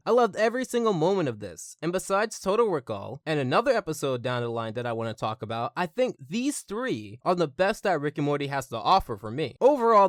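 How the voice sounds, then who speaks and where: A man's voice: a little high, no room sound, studio quality. A man giving scripted countdown commentary as an enthusiastic, opinionated review host. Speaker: host of a cartoon review channel.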